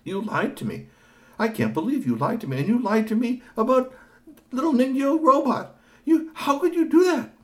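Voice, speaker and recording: A stern professor father, played by a man scolds with sharp, sarcastic questions in a raised, higher voice. He drags out his words to make a point. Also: sing-song, brisk, vocal fry, hardly any pauses. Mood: scolding.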